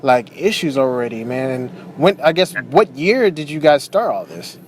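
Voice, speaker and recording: A man's voice: medium pitch, sing-song, moderate pace, a little hiss or hum. A man hosting an interview show, upbeat and chatty with many fillers. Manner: upbeat, filler-heavy, lots of "man" and "you know". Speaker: host. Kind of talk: casual interview.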